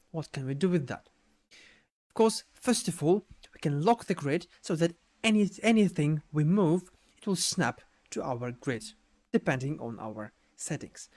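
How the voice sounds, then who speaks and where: A man giving an unscripted software tutorial in a halting way, repeating words and adding little checking tags as he explains each step. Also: fairly high pitch. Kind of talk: unscripted screen-share tutorial narration.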